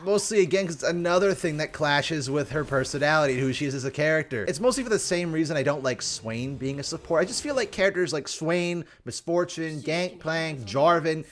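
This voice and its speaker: A man's voice: medium pitch, fairly bright tone. A man hosting a game review with casual, rambling gameplay commentary and sarcastic asides. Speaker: host of a game review series.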